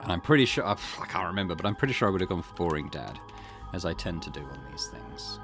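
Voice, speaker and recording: Low-voiced man musing over a game. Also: very animated, fast, gravelly. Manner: musing, hesitant, with fillers and restarts. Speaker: let's play host. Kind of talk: thinking aloud while playing a game. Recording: game music playing behind.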